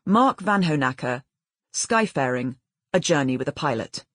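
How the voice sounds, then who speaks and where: A woman reading literary fiction as an audiobook narrator in a measured, expressive way. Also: low voice, dry recording, studio quality.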